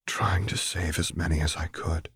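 A man voicing a serene, mysterious goddess disguised as a beggar woman, speaking quietly and gently in a narrated story. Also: very deep voice, fairly even intonation, moderate pace, gravelly, slightly rough, dry recording, studio quality, full character voice. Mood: sorrowful.

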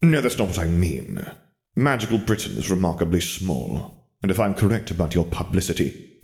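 Man as a stern, low-voiced wizard mentor. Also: a little mellow. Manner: lecturing, dry, precise. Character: stern, disdainful male wizard mentor, coolly analytical.

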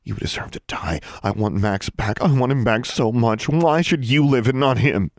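A man voicing a fierce, grieving teenage girl witch in a storybook reading, with a high strained voice. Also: brisk, no echo, clean studio sound, close to an everyday voice. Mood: bitter. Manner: high strained voice, shouting to whispering.

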